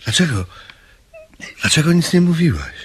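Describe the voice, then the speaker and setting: A man's voice: on the high side, wildly swooping pitch, moderate pace, a bit bright, exaggerated voice. An actor playing a flustered, panicky husband and small businessman in a radio comedy, agitated and blustering. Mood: shaken, urgent. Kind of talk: radio comedy drama acting. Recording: clear background noise, clicks.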